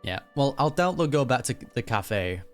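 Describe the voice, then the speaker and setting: A man's voice: mid-range voice, big pitch swings, normal speed. A man giving casual, slangy gaming reaction commentary in a joking, conversational way. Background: game music.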